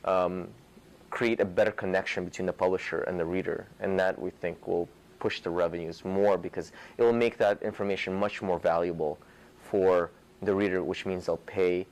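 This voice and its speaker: A man with a Ukrainian accent explaining his startup in a news interview clip, speaking slowly and carefully. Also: sits low, steady tone, a little mellow, rough recording.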